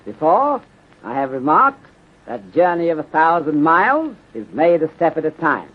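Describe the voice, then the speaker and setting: An actor playing a calm, philosophical police detective in a 1930s radio drama, speaking formally and deliberately with clipped grammar that drops articles. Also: male voice, a little high, slightly rough, heavily acted. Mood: measured, thoughtful. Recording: dull, lo-fi 1930s radio recording.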